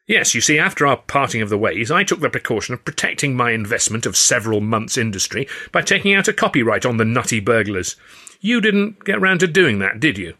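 A man's voice: mid-range voice, very animated, fairly quick, sharp tone, heavily acted. A man voicing a malicious rival comedian in an audiobook, smug and taunting. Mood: smug threatening.

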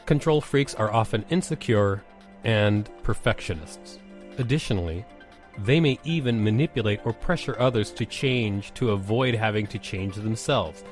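A man's voice: fairly low pitch, moderate pace, faint music behind. A man co-hosting a podcast, reading articles aloud and adding casual commentary. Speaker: podcast co-host.

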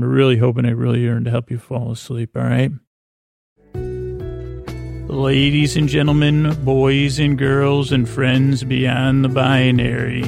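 A man with a creaky, gravelly voice, hosting a bedtime podcast in a rambling, halting monologue that wanders off into tangents and stumbles over words. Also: medium pitch. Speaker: podcast host. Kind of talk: rambling bedtime monologue to lull listeners to sleep.

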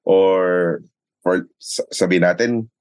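A man with a low voice and a Filipino accent talks as a sports radio commentator in an interview. He explains things conversationally, slipping between English and Tagalog and punctuating his points with tag questions. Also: fairly even intonation, takes it slowly. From Philippines. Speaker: sports radio play-by-play commentator and arena announcer.

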